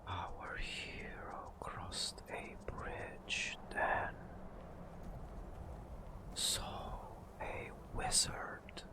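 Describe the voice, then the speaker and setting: A man narrating ASMR in a whispery, breathy voice, with drawn-out, theatrical storyteller phrasing. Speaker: gaming ASMR host.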